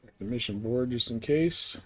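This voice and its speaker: A man's voice: mid-range voice, moderate pace, fairly bright tone, breathy edge. A man giving casual live commentary as he plays a video game, muttering offhand to himself.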